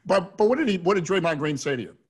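A middle-aged American man hosting a question-and-answer interview over a video call, halting and repeating words as he goes. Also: high-pitched, animated, slightly rough. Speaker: host, radio play-by-play announcer. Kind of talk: hosted Q&A interview. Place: indoor room at home, on a video call.

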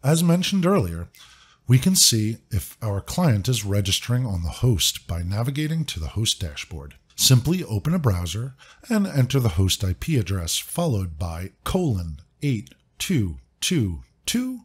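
A man narrating a scripted software walkthrough in a clear, upbeat, step-by-step instructive way. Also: fairly low pitch, very animated, unhurried. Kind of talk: scripted screen-recording walkthrough.

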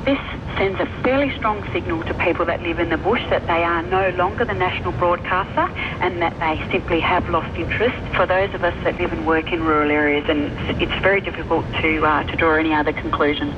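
A man's voice: on the high side, fairly even intonation, fairly quick. A man with an Australian accent speaking as a spokesperson in a news interview. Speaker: industry association spokesperson. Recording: dull radio playback, droning noise.

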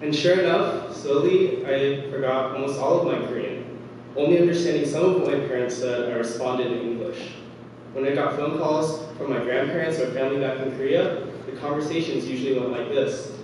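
A young man performing a spoken word piece on a dark stage, measured and dramatic, with pauses and voices for his mother and younger self. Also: mid-range voice. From United States. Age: young adult. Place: dark stage under a single spotlight. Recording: echoey hall, distant mic, faint hiss.

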